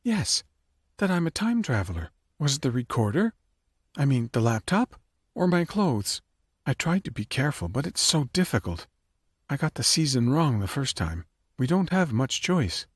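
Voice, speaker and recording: A man's voice: mid-range voice, big pitch swings, normal speed, husky, dry recording, pitched above the performer's own voice. A man reading an audiobook, voicing a serious, earnest young woman time traveler. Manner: earnest, matter-of-fact, close to tears at times. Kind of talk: audiobook character voice.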